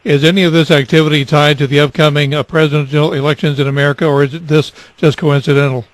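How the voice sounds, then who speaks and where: A male radio interviewer who asks measured questions, with an occasional brief filler. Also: on the high side, flat delivery, no room sound, poor audio.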